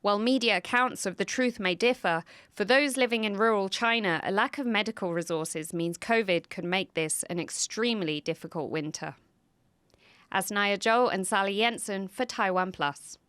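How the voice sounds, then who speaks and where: A woman's voice: mid-range voice, fairly bright tone, clear, resonant tone, no echo. Woman voicing a news report, dry sound. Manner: measured, serious voice-over. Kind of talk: scripted news report narration.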